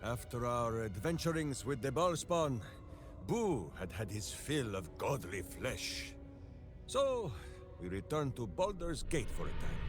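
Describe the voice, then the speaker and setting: An actor playing a big, simple-hearted heroic warrior in a video game, righteous and grandiose, speaking in a theatrical manner. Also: male voice, medium pitch. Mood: storytelling. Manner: theatrical, grandiose, speaks of himself in third person. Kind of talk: video game character acting.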